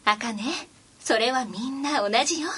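A woman's voice: a little high, moderate pace, sharp tone, slightly rough, heavily acted. A woman playing a kindly, assured young female healer in an anime-style fantasy radio drama.

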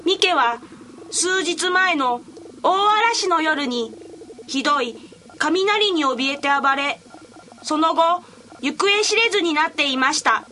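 A woman's voice: high-pitched, animated, slow, bright, forceful tone, a little hiss or hum, exaggerated voice. A woman voicing a polite, earnest little boy prince in a radio drama, formally and carefully reading out a letter. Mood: earnest, grateful. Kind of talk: Japanese anime-style radio drama acting.

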